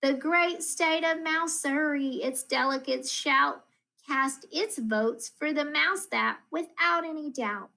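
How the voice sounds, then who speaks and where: Female storybook reader, school-educator read-aloud. Higher register, unhurried pace, rhythmic and expressive delivery of children's verse. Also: rough recording. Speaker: school math coach reading aloud.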